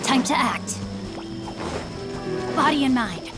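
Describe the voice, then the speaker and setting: Resolute young swordswoman for a game: high, animated, brief combat calls. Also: slow, over loud music. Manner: short battle calls. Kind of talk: video game voice acting.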